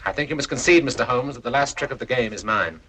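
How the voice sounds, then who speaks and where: An actor playing a smug, menacing villain with a taunting, self-satisfied manner in 1940s film style. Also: male voice, medium pitch, fast talker, a bit bright, slight background noise, close to an everyday voice. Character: smug, menacing male villain who mimics voices.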